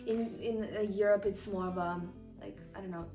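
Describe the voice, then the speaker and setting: A woman from Ukraine explaining things in a relaxed way during an interview. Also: mid-range voice, moderate pace, far from the mic, over music. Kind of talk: casual interview answers. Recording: echoey room, off-mic.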